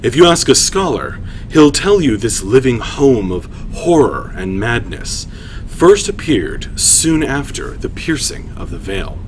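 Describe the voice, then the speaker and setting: A man hosting a story reading, shifting between informal, halting chat and measured storytelling narration. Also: mid-range voice, very animated, slight background noise. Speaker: writer and host reading his own story aloud. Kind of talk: livestream story reading, narration with casual asides.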